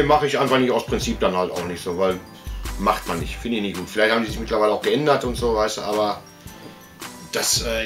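A man's voice: mid-range voice, fairly bright tone. A man, chatting casually to camera in a colloquial, rambling way full of tag words. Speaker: host of a collectibles video channel. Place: small indoor collector's room.

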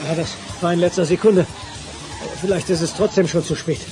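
A man playing a poisoned, weakening action hero in a German radio play, speaking haltingly. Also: high-pitched, fairly even intonation, over music, close to an everyday voice. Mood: urgent, strained. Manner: halting, stammering delivery. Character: poisoned action hero, gasping, fading but determined.

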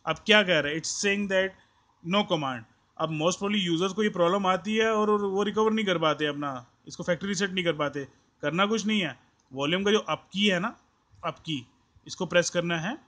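A man's voice: high voice, sharp tone. A man with an Indian accent giving an informal hands-on tutorial, explaining step by step and repeating himself. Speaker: tech tutorial host. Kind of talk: informal hands-on tutorial, mixing Hindi and English.

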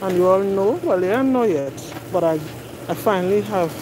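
A woman's voice: mid-range voice, lively intonation, normal speed, background noise. A middle-aged woman, chatting to viewers and reading prices aloud while shopping in a big warehouse store, filmed on a phone. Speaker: vlogger. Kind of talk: casual shop-with-me chat. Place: large warehouse store, indoors. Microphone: phone camera held while pushing a cart.

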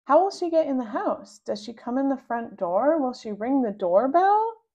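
A woman voicing an excitable, pesky little girl who fires off eager questions. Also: extremely high pitch, dull audio, voice pushed up, exaggerated voice. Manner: rapid-fire eager questioning.

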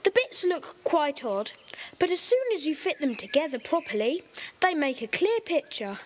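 An actor playing a quick, chatty boy sleuth in a children's audio drama. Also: high-pitched, sing-song, slight background noise. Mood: eager. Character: excitable boy club member. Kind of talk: radio play acting.

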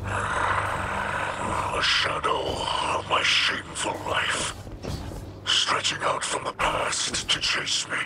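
An actor playing a brooding, haunted alien warrior captain in a video game, solemn and formal with a gravelly, breathy rasp. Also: male voice, heavy background noise. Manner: solemn, formal, halting delivery. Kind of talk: dramatic video game acting.

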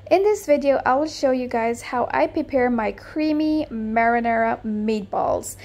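A woman with a slightly high voice narrates a cooking tutorial as the channel's host. Her delivery is friendly and upbeat, explaining each step plainly for viewers at home. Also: measured pace, a little mellow.

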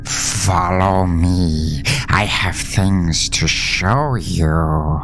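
Man voicing a slow, hushed, eerie little demon. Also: sits low, slight background noise, heavily acted. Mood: eerie, coaxing. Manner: slow, drawn-out, hushed delivery. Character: small maggot-faced demon, slow, tender, eerily soothing. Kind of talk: horror audio story. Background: music.

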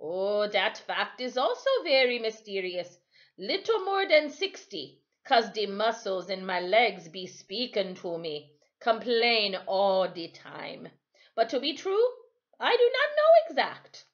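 A woman voicing an easygoing West Indian sailor man in his sixties in a storybook, with folksy, unhurried dialect. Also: sits high, sing-song, a bit bright, muffled recording, heavily acted. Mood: amused, musing. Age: 60s. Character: old West Indian sailor, over sixty, easygoing, chuckling. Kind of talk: storybook character voice.

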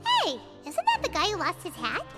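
A woman voicing a small, bubbly, childlike fairy companion in a video game, squeaky and chirpy, talking about herself in the third person. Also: huge pitch swings, normal speed, exaggerated voice. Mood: curious. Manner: speaks of self in third person. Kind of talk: video game character dialogue. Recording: music behind the voice.

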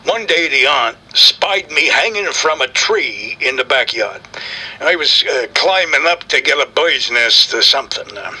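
Casting: male actor as grumpy streetwise stray tomcat for audio comedy, raspy and hard-edged, curt wisecracks over a phone call. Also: medium pitch, animated, measured pace, pushed and bright, full character voice. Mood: reminiscing, animated. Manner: curt, slangy, impatient wisecracking. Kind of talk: comic audio drama interview.

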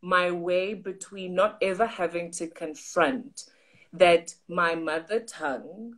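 Animated South African woman on a video call. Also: lowish voice, takes it slowly. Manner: animated, gesturing, voices quoted people. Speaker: co-host. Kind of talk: casual storytelling on a livestream. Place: home study with bookshelves. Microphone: video call on phone or laptop.

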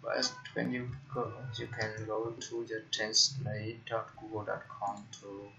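A young man with a Vietnamese accent, a tech tutorial host talking casually through a screen recording, halting with frequent fillers and restarts. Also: fairly low pitch, completely flat delivery, creaky, bright, forceful tone. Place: indoor room at a computer. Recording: dull lo-fi computer mic with faint noise.

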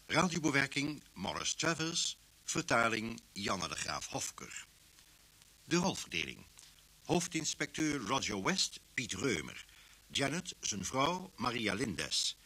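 Slow, forceful male radio announcer. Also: mid-range voice, breathy edge, poor audio. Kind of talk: formal scripted announcement of serial and cast. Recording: old radio recording.

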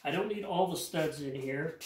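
Older male home mechanic, slightly high voice, plainspoken hands-on tutorial, roomy garage sound. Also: normal speed, a little hiss or hum. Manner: plainspoken, practical, repeats words while working. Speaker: home mechanic explaining an engine rebuild. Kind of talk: hands-on how-to explanation. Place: home garage workshop, indoors. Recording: echoey garage.

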